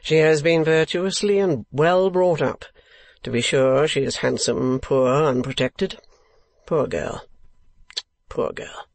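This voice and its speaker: A narrator as a sly, measured old moneylender. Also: medium pitch, no echo. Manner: measured, dry, falsely deferential. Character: shrewd old male moneylender, sly, sneering.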